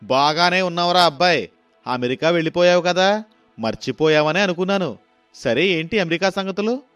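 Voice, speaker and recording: A man's voice: high-pitched, animated, normal speed, fairly bright tone, clean tone, faint music behind, voice pushed up. A man voicing a familiar, teasing middle-aged village uncle in a moral story.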